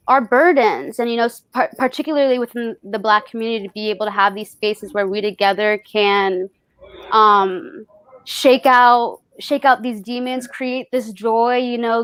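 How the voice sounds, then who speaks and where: A woman with a fairly high voice talks as a panelist in a reflective conversation. She is thoughtful and feels her way toward each phrase. The sound is dry and close. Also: fairly bright tone, smooth, no room sound. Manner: thoughtful, searching for words. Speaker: actor on a festival panel.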